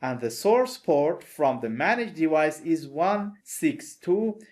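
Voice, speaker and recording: A man with a non-native English accent delivers a recorded technical lecture. His voice sits somewhat high for a man. He talks the listener through slides in a plain, methodical way. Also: expressive, slow, a little mellow. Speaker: networking instructor.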